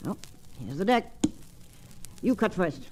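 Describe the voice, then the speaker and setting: An actress playing a tough, weary old saloon keeper in a radio western, with a low voice and folksy, plainspoken frontier talk. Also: female voice, big pitch swings, unhurried, a little mellow. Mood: sly, teasing. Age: older. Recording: old radio recording, faint noise.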